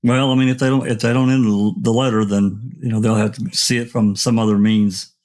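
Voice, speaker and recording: A man's voice: fairly low pitch, flat delivery, fairly quick, darkish tone. A man hosting a casual discussion in a folksy, hesitant way, repeating himself for emphasis.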